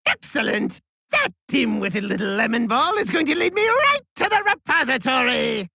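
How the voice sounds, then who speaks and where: A man voicing a scheming, gloating ghost villain in an animated game, with a sneering, bellowing, theatrical delivery. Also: very high voice, normal speed, vocal fry, no echo, clean studio sound, heavily acted. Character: scheming, gloating male ghost tyrant without a body.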